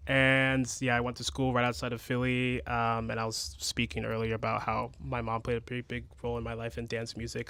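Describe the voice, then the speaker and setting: Relaxed young man, mid-pitched, reflective. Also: dry recording. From New Jersey and Nigeria. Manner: relaxed, reflective, laughs often, says "like" a lot. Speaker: nightlife event organizer. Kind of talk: casual radio chat.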